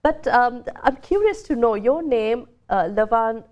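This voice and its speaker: A woman from Sri Lanka hosting a TV interview, polite and steady, with filler ums. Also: a little high, a little slow, lo-fi sound.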